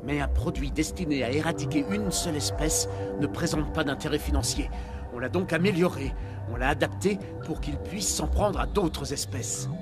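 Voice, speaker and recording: Male actor as troubled research doctor, video game, guarded, formal, fairly high pitch, music behind. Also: normal speed, natural voice. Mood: defensive. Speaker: doctor, scientist.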